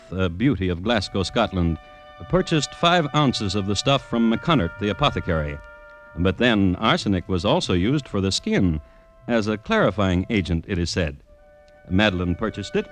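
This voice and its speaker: A man hosting and narrating an old radio crime drama in a dry, precise and ironic way. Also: fairly low pitch. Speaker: host narrator.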